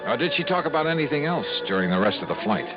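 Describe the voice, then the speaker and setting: A man's voice: mid-range voice, big pitch swings, natural voice. An actor playing a wry, hard-boiled male investigator narrating his own case in a 1950s radio drama. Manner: wry, clipped, fast-talking, hesitant when flirting. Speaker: narrator and lead. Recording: dull lo-fi old radio recording with music.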